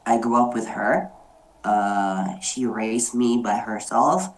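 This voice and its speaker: Very low-voiced woman moderating slowly. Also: poor audio. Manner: hesitant, many fillers and restarts. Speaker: meeting moderator. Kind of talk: online group discussion, personal storytelling. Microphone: video call.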